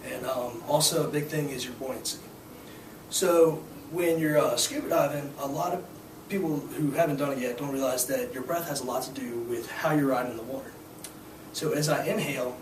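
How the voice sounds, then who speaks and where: A hoarse young man teaching a scuba class, explaining gear and skills in a casual, filler-heavy way. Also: a little high. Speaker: scuba instructor. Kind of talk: hands-on demonstration to a class. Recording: echoey room, distant mic, noisy.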